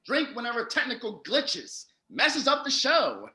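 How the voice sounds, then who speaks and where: A man acts out an excitable old-world German storyteller for a comic stage show. His voice is piercingly bright and high, with an emphatic, scolding showman's delivery, heard in an echoey room. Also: measured pace, exaggerated voice. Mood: upbeat, presenting. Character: Wilhelm Grimm, excitable German storyteller brother of fairy tales. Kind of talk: comedic stage patter and rhymed storytelling. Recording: roomy, echoey home recording.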